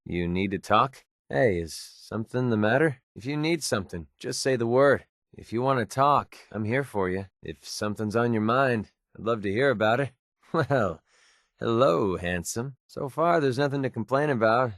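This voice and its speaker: A man's voice: medium pitch, fairly bright tone, dry recording, clean studio sound, natural voice. A man voicing a cocky, sardonic young mercenary companion in a video game, casual and wry, loyal underneath. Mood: irritated, then friendly, caring, then wry, impatient. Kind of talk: video game voice acting, short companion lines.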